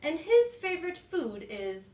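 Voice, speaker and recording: A woman voicing a small, clever brown mouse in a storybook, polite and calmly mock-serious. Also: very high voice, unhurried, reverberant, recorded from a distance, pitched above the performer's own voice, full character voice, rough recording.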